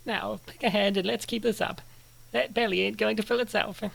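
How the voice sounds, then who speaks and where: A man's voice: sits high, fairly even intonation, a little fast, creaky, a bit bright, voice pushed up, heavily acted. A man voicing a pushy, cheeky young woman who cajoles her friend in a comic dub. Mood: egging on. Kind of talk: comic dub character acting.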